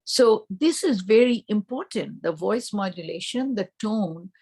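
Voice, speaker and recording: A woman's voice: medium pitch, expressive, measured pace, darkish tone, breathy edge. A woman presenting an explanatory lesson as a teacher trainer, instructive and emphatic as she spells out each point. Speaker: early childhood teacher trainer.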